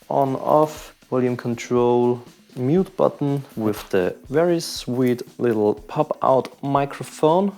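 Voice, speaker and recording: A man hosting a tech channel, giving calm, short remarks in an unboxing voice-over. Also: mid-range voice, gravelly.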